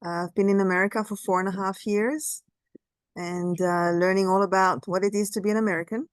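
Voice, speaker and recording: A woman's voice: mid-range voice, fairly even intonation, fairly quick, clear, resonant tone, dry recording. A middle-aged woman with a French accent, a realtor, chatting candidly on a video call and searching for words now and then. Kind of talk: casual meeting conversation.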